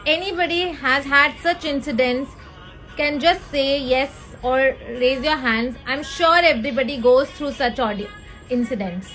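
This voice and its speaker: A woman with an Indian accent hosting a live talk on mental health, speaking earnestly and mixing English with Hindi. Her voice is high and youthful, the kind others call a baby voice. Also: measured pace, fairly bright tone, soft background music, rough recording. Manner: switches between English and Hindi mid-sentence; earnest, asks the audience questions. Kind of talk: casual talk to a live audience.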